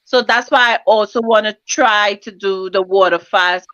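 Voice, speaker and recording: A woman's voice: medium pitch, moderate pace, a bit bright, dry recording, muffled recording. A woman talking hesitantly as a guest in a casual live-stream chat, often searching for her words.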